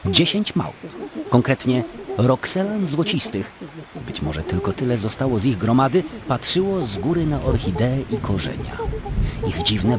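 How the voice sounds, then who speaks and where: A man narrating a scripted story as a dramatic, expressive storyteller in an audio drama. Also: medium pitch.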